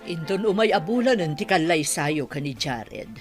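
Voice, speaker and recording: A woman acts out a middle-aged mother from a radio comedy-drama. Her voice sits low and moves about with lots of expression. She is bossy and insistent, steadily admonishing. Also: very animated, moderate pace, faint music behind. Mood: firm, scolding. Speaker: actor in audio drama.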